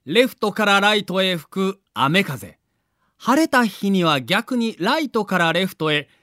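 Male narrator, high and very lively delivery, dramatic scripted storytelling. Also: no echo, clean studio sound. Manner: vivid storyteller, builds excitement.

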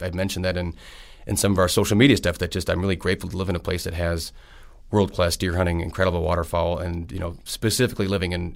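A man in his thirties from Wisconsin, answering interview questions in a friendly, rambling way with many fillers. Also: low-pitched. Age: 30s. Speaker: state senator.

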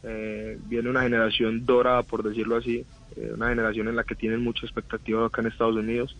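A young man, giving thoughtful radio interview answers with many pauses. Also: medium pitch, monotone, a bit bright, muffled recording. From Colombia. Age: 20s. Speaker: footballer guest.

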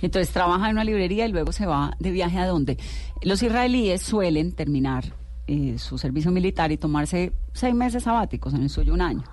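A woman's voice: fairly low pitch, expressive, fairly quick, darkish tone. A woman interviewing conversationally, asking direct questions and interjecting follow-ups.

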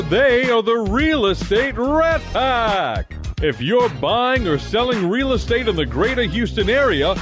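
A man reading an upbeat, showy radio promo. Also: high-pitched. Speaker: promo announcer. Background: music bed.

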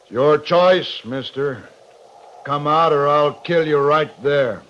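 A man's voice: on the high side, slow, fairly bright tone. A man acting a firm, terse cavalry captain in a 1950s radio western, with an American accent and controlled military delivery. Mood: stern, commanding. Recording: muffled noisy 1950s radio recording.

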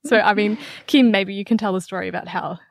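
A woman's voice: mid-range voice, quick pace, gravelly, dry recording, clean studio sound. A woman with an Australian accent, telling a story conversationally as an interview guest. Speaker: podcast guest, writer and editor.

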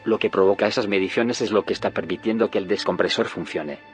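Actor as a determined low-voiced male inventor. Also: fast, soft background music, lightly acted. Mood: assured, intrigued. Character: male inventor of a time machine, determined, secretive. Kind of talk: audio drama dialogue.

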